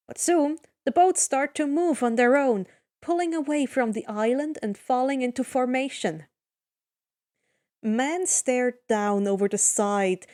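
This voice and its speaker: A woman narrates a piece of fan fiction as a dramatic story. Her voice sits a little high and she takes her time, reading at a clearly slow pace. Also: darkish tone.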